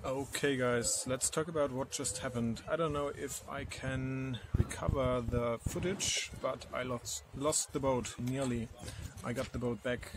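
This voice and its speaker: A young man talks slowly and haltingly into a close handheld camera, outdoors by the water in the sun. His voice sits mid-range, and clear outdoor noise with passing traffic runs behind him. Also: mid-range voice, fairly even intonation. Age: young adult. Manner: slow, searching for words, non-native phrasing. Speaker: RC boat builder vlogger. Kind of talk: casual vlog explaining to camera. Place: outdoors by a harbor in sunshine. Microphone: action camera with furry windscreen mic held close.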